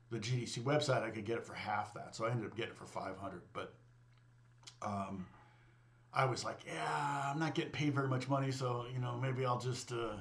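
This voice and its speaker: A middle-aged man with a middle-range voice chats casually with his viewers on a music channel. He wanders from one thought to the next, breaks off his sentences and laughs lightly at his own remarks. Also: medium pitch. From United States. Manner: rambling, self-interrupting, quick to laugh at himself. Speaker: guitar teacher and channel host chatting with live viewers. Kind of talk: casual livestream chat.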